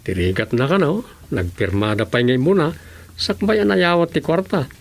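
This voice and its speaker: Man acting a creaky-voiced, grumbling Ilocano village man. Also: fairly high pitch, animated, normal speed. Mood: grumbling, explaining. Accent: Ilocano Filipino. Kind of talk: Ilocano radio comedy drama acting.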